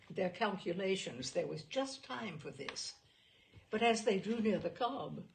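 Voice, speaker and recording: A woman reading a classic novel aloud as an audiobook narrator, with expressive, dramatic and deliberate phrasing. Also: medium pitch, creaky, rough recording.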